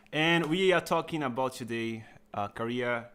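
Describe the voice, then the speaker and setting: A man from Brazil with a Brazilian Portuguese accent, whose first language is Portuguese, hosting a livestream interview over a video call in a relaxed way, asking questions and speaking to viewers. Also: medium pitch, a little slow, clean tone. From Salvador, Bahia, Brazil. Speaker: host.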